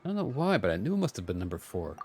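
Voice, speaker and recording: A man's voice: low voice, big pitch swings, very fast, background noise. A man giving casual live commentary as he streams a video game playthrough. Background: game music and sounds.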